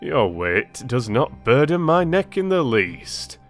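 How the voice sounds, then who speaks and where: A big storybook bull, voiced by a man, talks slowly and bluntly in rhyme. He is unbothered and dismissive, giving each phrase plenty of weight. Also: medium pitch, sing-song, soft background music, pitched above the performer's own voice. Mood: dismissive calm. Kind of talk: rhymed storybook fable read aloud.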